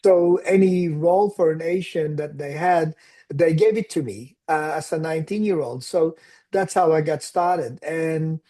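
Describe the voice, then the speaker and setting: A man in his sixties from the Philippines with a Filipino accent, telling his story as an interview guest in a relaxed, reflective way and dropping in Tagalog phrases. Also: on the high side, a little slow. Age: 60s. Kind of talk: casual interview conversation.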